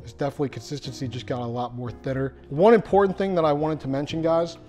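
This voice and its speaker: A man's voice: on the high side, steady tone, fast, darkish tone, soft background music. A young man hosting a casual cooking demo in his home kitchen, chatty and self-deprecating, talking to viewers as he stirs. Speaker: cooking show host.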